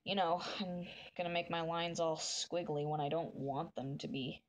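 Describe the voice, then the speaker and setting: A woman streaming live, chatting with viewers in a soft, meandering way while she draws, with a voice that is deep for a woman. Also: fairly even intonation, moderate pace, dull audio. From northern United States. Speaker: livestreamer. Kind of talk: casual chat while drawing on a livestream.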